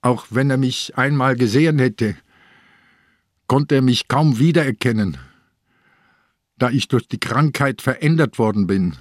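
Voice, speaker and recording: An actor playing a sick, weak old police commissioner in a radio crime drama, terse and weary but stubbornly defiant. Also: male voice, mid-range voice, a little slow, long pauses, no echo, clean studio sound, lightly acted. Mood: guarded, defiant. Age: older.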